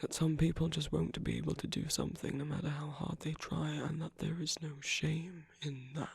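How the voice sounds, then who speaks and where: A man playing a tender but commanding villain in a romantic ASMR roleplay, speaking softly and breathily with measured pauses, often dropping to a whisper. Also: fairly high pitch, animated, creaky, slightly rough, no room sound, heavily acted. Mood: gentle, earnest. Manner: slow, gentle, measured, with deliberate pauses. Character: tender, commanding male villain comforting his lover.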